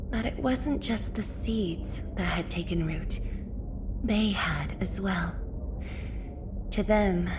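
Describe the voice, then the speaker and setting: Woman playing a gentle, unhurried young scientist. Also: mid-range voice, background noise, close to an everyday voice. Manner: measured, softly earnest, formally polite. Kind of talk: scripted video game character voice lines.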